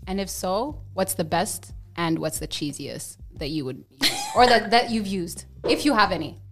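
A woman's voice: medium pitch, animated, normal speed, a bit bright, soft background music. A woman co-hosting a podcast in casual chat, playful as she reacts and asks the game questions. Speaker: podcast co-host.

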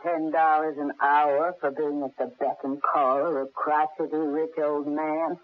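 A woman's voice: low-pitched, flat delivery, unhurried, dry recording. An actress playing a sultry, teasing, mercenary wife in a radio drama, languid and needling in her delivery. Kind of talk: 1970s radio drama acting. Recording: muffled phone-like vintage radio recording.

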